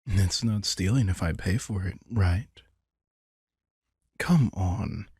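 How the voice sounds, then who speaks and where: A male actor as a centuries-old vampire in an intimate roleplay audio drama. His deep voice crackles with fry and sits close and dry. He talks casually and sardonically, teasing but protective. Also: slow pace, darkish tone, no echo, heavily acted.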